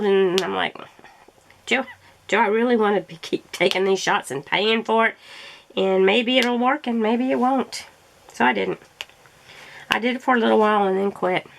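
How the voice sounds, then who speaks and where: Woman, gravelly voice, rambling casual chat, allergy-scratchy throat, faint background hiss. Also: medium pitch. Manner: rambling, chatty, self-correcting. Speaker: crafting vlogger. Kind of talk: casual chat while crafting.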